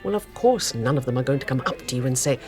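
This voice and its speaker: An actress playing a hurt, suspicious middle-aged wife in a radio drama, with a British accent and an insistent, sarcastic delivery. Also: female voice, a bass voice, expressive, fast talker, a little mellow, soft background music. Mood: exasperated.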